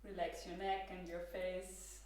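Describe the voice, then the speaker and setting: Slow, gentle Austrian-accented woman yoga teacher. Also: lowish voice, recorded from a distance, slight background noise. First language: German. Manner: calm, gentle cueing with soft laughs. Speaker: yoga instructor. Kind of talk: guided yoga class. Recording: roomy echo, from across the room.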